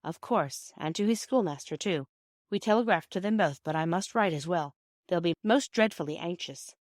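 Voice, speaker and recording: A woman voicing a gentle, patient British mother in a storybook, reasoning tenderly and in measured phrases. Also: fairly low pitch, no echo, clean studio sound, close to an everyday voice. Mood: matter-of-fact.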